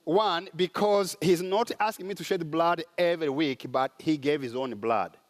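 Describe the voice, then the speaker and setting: A man with a Rwandan accent speaks from a conference stage in a preaching style. His voice sits a little high, and he often stops to search for the next word with an "uh.". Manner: halting, with many "uh" pauses. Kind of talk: sermon-style conference talk. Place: large conference hall.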